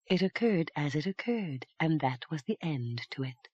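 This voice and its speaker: A woman doing a soft, silken, vain fairy. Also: low-pitched, expressive, a little slow, breathy edge, dry recording, lo-fi sound, full character voice. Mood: sullen. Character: vain, sly fairy mother, silken and sullen.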